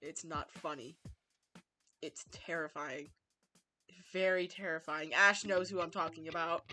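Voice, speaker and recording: A woman streaming a visual novel with casual, expressive chat, often repeating phrases for emphasis. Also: low voice, unhurried. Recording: music clearly behind the voice.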